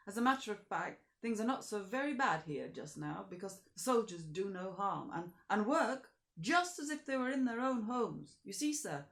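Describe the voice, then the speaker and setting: A woman's voice: medium pitch, fairly bright tone, echoey room, pitched above the performer's own voice. A woman reading a storybook voices an old village beadle who earnestly explains things in a kindly, reasonable way.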